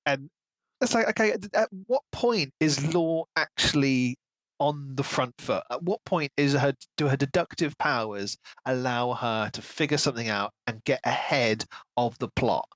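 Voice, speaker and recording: A man's voice: mid-range voice, unhurried, a bit bright, dry recording, rough recording. A man co-hosting a podcast, talking casually and analytically about a book as he thinks aloud. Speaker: podcast co-host.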